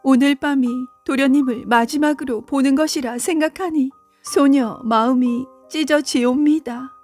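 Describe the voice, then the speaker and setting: Woman acting a high-voiced, sorrowful young maiden. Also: fairly even intonation, moderate pace, a little mellow, soft background music, lightly acted. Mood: heartbroken, resigned. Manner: tender archaic courtly speech. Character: sorrowful young maiden in love, humble and formal. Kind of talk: theatrical folktale storytelling.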